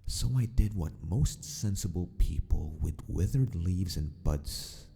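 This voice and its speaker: A man playing a sadistic, possessive mad scientist in an ASMR roleplay, mock-polite and menacing, often sinking into a breathy whisper. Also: deep voice, unhurried, mellow, exaggerated voice. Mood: sinister, possessive.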